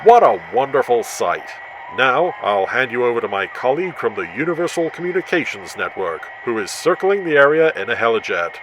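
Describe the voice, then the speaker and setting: A man's voice: medium pitch, big pitch swings, a little fast, background noise, close to an everyday voice. A man playing a proud radio commentator at a launch, speaking in a grand, enthusiastic, declamatory broadcast style. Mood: rousing pride. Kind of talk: radio outside-broadcast acting.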